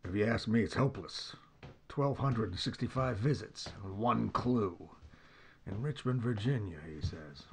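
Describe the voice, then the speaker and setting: A man's voice: fairly low pitch, a little slow, a little hiss or hum. A man acting in old-time radio style as a blunt, folksy, pessimistic police sergeant. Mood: grumbling.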